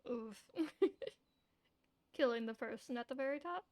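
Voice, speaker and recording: High-voiced, candid woman game streamer. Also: unhurried, a little mellow. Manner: candid, informal, swearing. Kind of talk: casual live reaction commentary.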